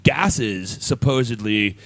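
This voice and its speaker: A man's voice: medium pitch, slow pace, a bit bright, dry recording. Joking male radio host, dry close sound. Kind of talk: casual studio banter.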